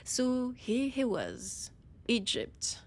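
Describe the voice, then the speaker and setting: A woman playing a haughty, annoyed vampire scholar with a French accent, speaking in a theatrical monologue with dramatic pauses. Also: fairly high pitch, huge pitch swings, full character voice. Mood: wry, condescending. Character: annoyed, haughty female vampire scholar guiding a library guest. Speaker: audio roleplay performer. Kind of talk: slow theatrical in-character monologue.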